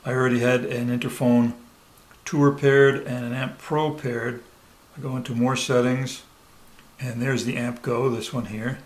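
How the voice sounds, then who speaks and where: A man presenting a hands-on product tutorial step by step, pausing mid-sentence as he demonstrates. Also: mid-range voice, flat delivery, poor audio. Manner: halting, pauses mid-sentence while demonstrating. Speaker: product reviewer giving a demonstration. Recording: clear background noise, room ambience.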